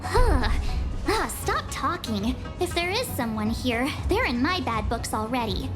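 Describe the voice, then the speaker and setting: Perky adventurer girl for a game: high voice, unhurried pace, expressive and cheeky, over loud music. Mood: uneasy, grumbling. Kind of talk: video game voice acting.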